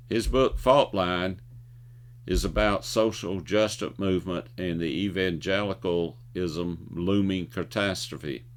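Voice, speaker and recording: An older man with a low-pitched voice presents scripted commentary for a video show. His delivery is folksy and opinionated, and he sometimes trips over words as he reads. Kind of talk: scripted commentary with jokes and anecdotes.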